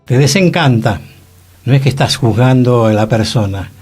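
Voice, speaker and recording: A man's voice: mid-range voice, expressive, normal speed, darkish tone, breathy edge, faint music behind. A man with an Argentine accent giving colloquial, conversational commentary on a radio panel.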